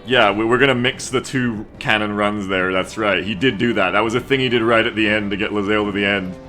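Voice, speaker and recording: A man's voice: fairly low pitch, steady tone, brisk, bright, forceful tone. A man streaming games, chatting casually and jokingly, swearing freely. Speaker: game streamer. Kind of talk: casual stream chat about a game. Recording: music behind the voice. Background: music.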